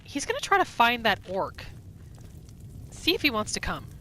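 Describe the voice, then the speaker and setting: A woman's voice: on the high side, huge pitch swings, normal speed, pushed and bright, a little hiss or hum. A woman gaming YouTuber giving casual, story-like commentary as she narrates a roleplay playthrough. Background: game music.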